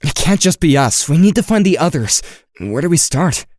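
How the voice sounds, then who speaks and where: A man's voice: a little high, big pitch swings, normal speed, a little mellow, no echo, studio quality. A man playing an anxious, skeptical teenage boy with psychic powers in an audio drama, with a hesitant, wry delivery. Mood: urgent. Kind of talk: audio drama dialogue and first-person narration.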